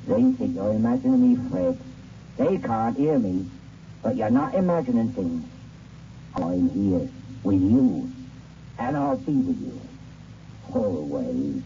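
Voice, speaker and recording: An actor playing a taunting ghost who gloats over his victim in drawn-out, creaky 1960s radio horror style. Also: lowish voice, wildly swooping pitch, full character voice. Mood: sinister glee. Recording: muffled, noisy old radio recording, distant.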